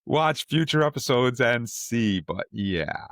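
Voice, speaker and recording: A man's voice: medium pitch, big pitch swings, slow, a bit bright, dry recording, clean studio sound. A man hosting a podcast, talking casually as an enthusiastic fan and repeating words for emphasis. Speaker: podcast host.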